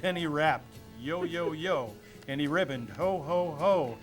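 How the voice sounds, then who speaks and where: Playful man with a high-pitched reading voice. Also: a little slow. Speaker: storybook reader. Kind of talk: expressive children's storybook reading. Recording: music playing behind the voice.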